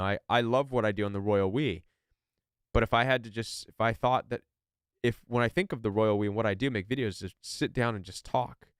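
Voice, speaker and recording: Male live-stream host, loose rambling talker, slightly low resonant voice. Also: smooth, no room sound, studio quality. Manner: rambling, with frequent restarts and stammered repeats; reads viewer comments aloud. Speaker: podcast host.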